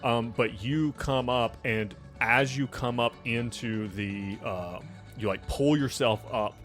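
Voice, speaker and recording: A man's voice: mid-range voice, slow, fairly bright tone. A man narrating a tabletop role-playing game as game master, describing things informally and addressing the player directly. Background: music.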